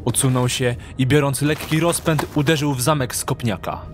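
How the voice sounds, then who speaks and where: Male narrator, mid-pitched, dramatic audiobook storytelling, music playing behind. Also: fairly even intonation, normal speed.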